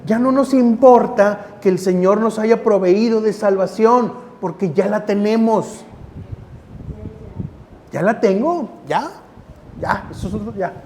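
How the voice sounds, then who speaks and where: A man's voice: high voice, slow pace, darkish tone, slight background noise. A man preaching a sermon in a conversational way, repeating phrases for emphasis. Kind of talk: sermon with scripture reading.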